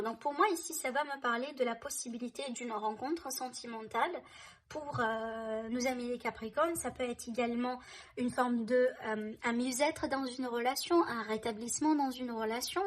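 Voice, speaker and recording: A woman doing a tarot reading for her viewers, speaking in a reassuring way, often checking in with "hein" and "d'accord". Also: on the high side. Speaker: tarot reader.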